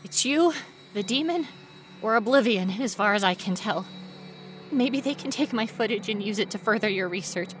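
A woman playing a frightened, stubborn young female ghost researcher in a first-person horror audio drama, with an intense, breathy delivery. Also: mid-range voice, animated, normal speed, soft background music, close to an everyday voice. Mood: resolute, desperate. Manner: intense, breathy, dropping to whispers and rising to cries.

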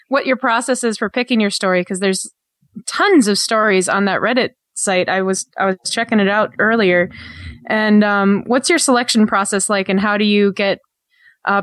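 A woman's voice: mid-range voice, steady tone, normal speed, fairly bright tone, dry recording. A woman interviewing a guest on a podcast, asking questions casually.

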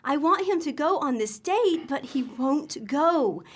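A woman's voice: sits high, expressive, measured pace. A woman playing a fretful but caring middle-aged mother in a radio comedy, pleading earnestly. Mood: worried, exasperated. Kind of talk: old-time radio situation comedy acting.

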